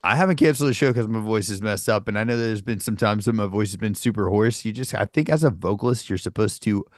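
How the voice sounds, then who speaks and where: A man's voice: lowish voice, quick pace, no room sound, clean studio sound. A man from Nashville, a vocalist and podcast co-host, chatting casually and animatedly, swearing and saying "dude" often. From Nashville, USA. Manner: animated, swears freely, says "dude" a lot. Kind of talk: casual chat.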